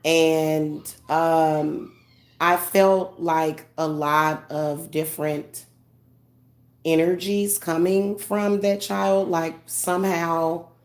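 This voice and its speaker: Stuffed-up lady medium talking slow and low, lots of ums and restarts. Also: fairly even intonation. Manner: halting, many fillers and restarts. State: congested. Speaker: spiritual medium vlogger. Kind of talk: casual emotional monologue.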